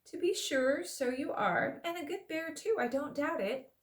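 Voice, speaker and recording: A woman's voice: sits high, expressive, measured pace, voice pushed up. A woman doing a grave, courteous young king. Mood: kindly. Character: young noble male king, kind and grave.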